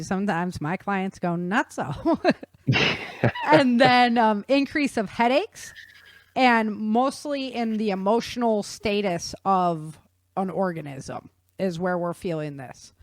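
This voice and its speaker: A woman's voice: mid-range voice, lively intonation, unhurried, no room sound. A woman hosts a podcast in a casual, explanatory style. Her mid-range voice is enthusiastic and folksy, with informal phrasing. The sound is dry and close, with hardly any room in it. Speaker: podcast host.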